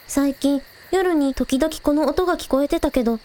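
A woman playing a cheerful, curious young village idol girl, casual and quick to exclaim, in a scripted audio drama. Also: high voice, warm tone, lightly acted. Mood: puzzled, curious.

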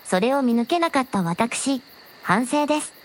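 A woman playing a gentle, very polite dragon princess with a softly teasing manner in a scripted audio drama. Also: high-pitched, expressive, moderate pace. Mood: gentle, earnest. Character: gentle polite dragon princess, a shrine maiden's alternate self.

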